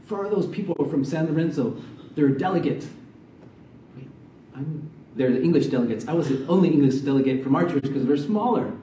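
A middle-aged man, a missionary, giving a sermon and prayer in a church, soft-spoken and halting with many fillers. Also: a little high, a little hiss or hum. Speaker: missionary preacher. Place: church sanctuary, medium-sized. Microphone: stand mic from a distance. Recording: roomy church sound, mic far off.

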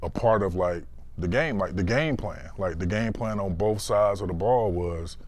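Deep-voiced man, emphatic storyteller. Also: normal speed, darkish tone, a little hiss or hum. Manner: emphatic, repeats phrases. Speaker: former football player. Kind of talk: casual interview recollection.

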